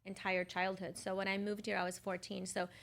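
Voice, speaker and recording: Female podcast guest, middle-aged, from Lebanon, average pitch, conversational storyteller. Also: level tone, brisk, gravelly, fairly bright tone. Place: podcast studio.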